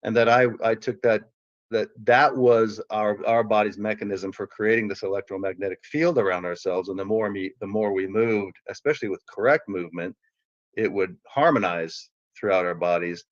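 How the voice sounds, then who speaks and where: Low-voiced man rambling on a call. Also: normal speed, no echo, lo-fi sound. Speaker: caller. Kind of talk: casual call-in chat.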